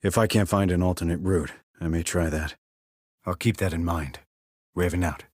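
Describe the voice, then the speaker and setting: A man playing a terse, calm military sniper in a video game, clipped and controlled, reporting in tactical radio calls. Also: normal speed, no room sound, studio quality. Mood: cool, businesslike. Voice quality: low, husky. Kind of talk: tactical radio call acting.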